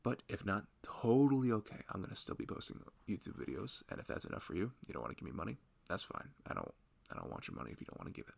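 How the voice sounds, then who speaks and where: A man's voice: on the low side, wildly swooping pitch, fast, gravelly, few pauses. A man chatting off the cuff in a self-deprecating, rambling way as an audio roleplay creator introducing his work. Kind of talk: casual rambling improvised intro.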